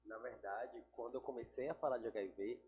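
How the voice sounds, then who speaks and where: A man's voice: medium pitch, brisk, narrow, tinny audio, slight background noise. Chatty man in his thirties. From Salvador, Brazil. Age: 30s. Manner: relaxed, chatty, laughs easily. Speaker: guest, digital influencer. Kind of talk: casual podcast interview.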